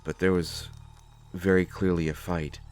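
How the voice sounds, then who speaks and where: Halting, low-voiced, nervous bookish survivor acted by a man. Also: flat delivery, clear, resonant tone. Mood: uneasy, hesitant. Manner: hesitant, stammering, halting. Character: nervous, bookish male survivor of a sci-fi wasteland. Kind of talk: scripted sci-fi audio roleplay, solo acting.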